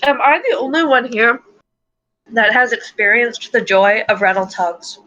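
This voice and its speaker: A woman's voice: fairly high pitch, measured pace, bright, forceful tone. A woman, one of the players, chatting bluntly with stammered restarts over an online voice call. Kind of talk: casual out-of-game chat. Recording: dull lo-fi call audio.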